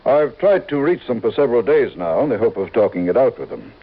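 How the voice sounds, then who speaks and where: A man's voice: medium pitch, sing-song, fairly quick. A man acting a fussy, stingy, anxious insurance executive in a mid-century radio drama, prim and insistent. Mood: worried. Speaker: supporting actor in a radio drama. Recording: tinny, band-limited old radio recording.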